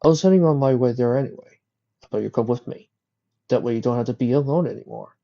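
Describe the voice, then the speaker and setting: A man voicing a kindly male pony traveler, tender, soft-spoken and playful, for a story. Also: medium pitch, fast, vocal fry, lo-fi sound, lightly acted. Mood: reassuring.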